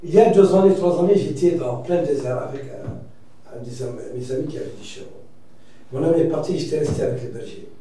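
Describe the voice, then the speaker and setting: Reflective man, mellow voice, echoey room. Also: fairly high pitch, brisk, recorded from a distance. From Mauritania. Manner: reflective, hesitant, many restarts and fillers. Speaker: writer, debate guest. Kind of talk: debate discussion.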